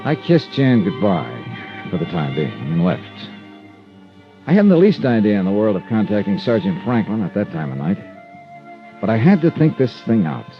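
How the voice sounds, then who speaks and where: A man's voice: on the low side, wildly swooping pitch, normal speed. A male actor playing a shrewd, hard-boiled insurance investigator in a 1950s radio drama, speaking in a wry, clipped way. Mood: tense, reflective. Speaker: lead actor. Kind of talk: 1950s radio detective acting, first-person narration and dialogue. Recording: dull vintage radio recording with music.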